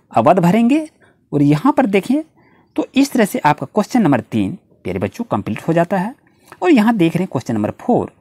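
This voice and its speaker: Indian accent tutor going thru q and a for students, raspy voice, super up and down pitch, repeats explanations. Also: warm tone, dry recording. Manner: addresses students directly, repetitive explanatory phrasing. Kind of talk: lesson, reading out questions and answers.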